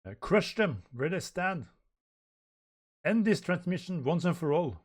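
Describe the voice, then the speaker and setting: A man's voice: mid-range voice, slow pace, lightly acted. A man voicing an imperious elder villain from a manga, formal, menacing and commanding. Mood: cold, commanding.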